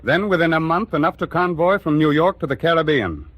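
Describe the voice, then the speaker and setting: A man's voice: a little high, dry recording, muffled recording, lightly acted. A man with an American accent narrating in a grave, oratorical 1940s radio drama style. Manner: grave, oratorical, measured phrasing.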